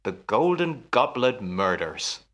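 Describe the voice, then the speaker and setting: A man's voice: fairly low pitch, very animated, slow pace, fairly bright tone, full character voice. An actor in a radio play as a jealous husband, musing theatrically and teasing his wife with menace. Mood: breezy.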